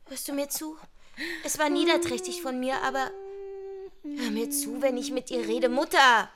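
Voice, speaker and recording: An actress in a German radio drama playing a young adult daughter, exasperated and pleading, who talks in an urgent, insistent way. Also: female voice, high-pitched, normal speed, crowd noise, close to an everyday voice. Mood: remorseful, insistent.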